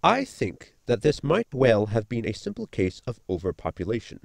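An actor playing an articulate, bookish science officer in a sci-fi comedy audio drama, speaking formally and precisely. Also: male voice, medium pitch, wildly swooping pitch, normal speed, gravelly, no echo, studio quality. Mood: earnest, analytical. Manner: formal, precise, long-winded.

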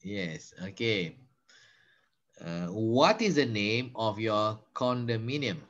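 A man's voice: fairly low pitch, expressive, slow, a bit bright. A Malaysian man in his fifties, a patient English teacher coaching a student on a video call, switching between Malay and English. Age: 50s. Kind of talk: online conversation lesson. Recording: slightly dull video call audio.

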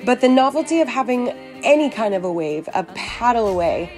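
A woman giving a calm, reflective voice-over about surfing on a lunch break. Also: on the high side, a little fast, faint music behind. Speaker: vlogger narrating.

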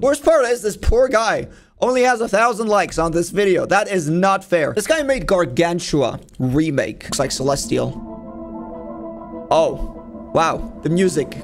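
A young man giving casual, excitable reaction commentary as host of a gaming video, informal and full of exclamations. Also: high-pitched, normal speed. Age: young adult. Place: indoor room at a desk. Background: music from the videos he watches.

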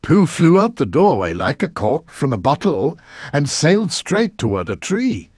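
A man narrating a children's story in an expressive read-aloud style. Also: a little high, a little slow, slightly rough, no echo, clean studio sound.

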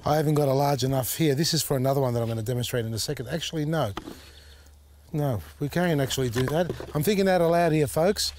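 Husky gardener thinking out loud. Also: male voice, on the high side, quick pace, background noise. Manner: chatty, thinks out loud, many fillers. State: slightly husky, voice recovering. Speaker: gardening show host. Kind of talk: casual gardening demonstration. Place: outdoor garden beside a tall hedge.

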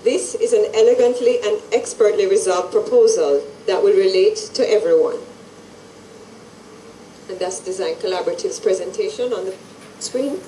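Woman, middle-aged event presenter, mellow tone, formal scripted read, heard distantly through hall echo. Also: mid-range voice, slight background noise. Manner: measured, formal delivery. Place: large indoor event hall with stage and audience. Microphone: handheld microphones at a lectern, through PA.

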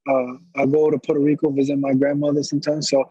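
A man's voice: on the high side, level tone, fast talker, dark tone, husky, dry recording. A young man, a boxer being interviewed, talking casually and repeating himself over earbuds on a video call. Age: young adult. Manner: easygoing, repeats phrases. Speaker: boxer guest. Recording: dull lo-fi call audio.

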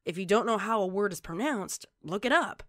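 A woman reading scripted tutorial narration in a measured, instructional way, like an online narrator presenting tips. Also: mid-range voice, animated, fairly bright tone, dry recording, studio quality.

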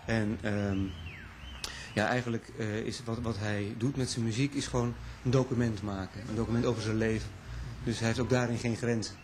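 A man in his forties interpreting during a backstage interview, hesitant with many pauses. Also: on the low side, steady tone, a crowd in the background. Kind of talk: casual interview. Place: small indoor room backstage. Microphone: handheld interview mic. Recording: interview with audible room noise.

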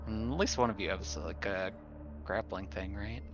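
A man's voice: fairly low pitch, moderate pace, muffled recording, faint music behind. A man playing a tabletop game, talking casually with the other players.

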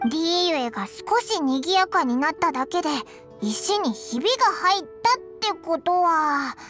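Tiny game fairy sidekick: extremely high, squeaky, bright, brash, swooping and excitable. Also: female voice, a little slow, faint music behind, exaggerated voice. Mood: curious, excited. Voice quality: squeaky, childlike. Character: tiny, childlike, greedy, excitable floating fairy companion. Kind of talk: Japanese video game voice acting.

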